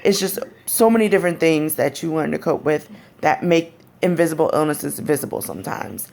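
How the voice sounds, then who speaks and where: A young woman with a raspy, deep voice, speaking candidly in a personal vlog. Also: animated, a little fast, a little mellow, slight background noise. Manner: candid, frank and conversational. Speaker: vlogger. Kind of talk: personal storytelling to camera.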